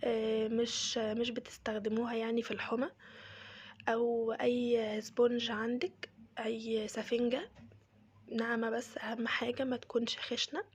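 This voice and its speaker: Breathy whispering Egyptian woman with a cold. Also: a little high, flat delivery, normal speed, long pauses. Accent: Egyptian Arabic. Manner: soft, mostly whispered, chatty asides. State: has a cold, voice changed. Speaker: crochet maker demonstrating cleaning. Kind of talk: casual how-to tutorial.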